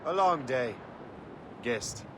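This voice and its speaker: An actor playing a terse, determined sea captain and assassin hunter in a video game cutscene. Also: male voice, mid-range voice, expressive, slow pace. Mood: grim. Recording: noisy game audio with ship ambience.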